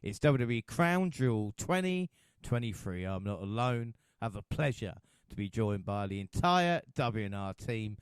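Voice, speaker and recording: A man's voice: lowish voice, big pitch swings, normal speed, smooth, dry recording, studio quality. A man hosting a wrestling podcast, joking and laughing through casual chat. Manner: jokey, laughs often, mumbles asides. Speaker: podcast host.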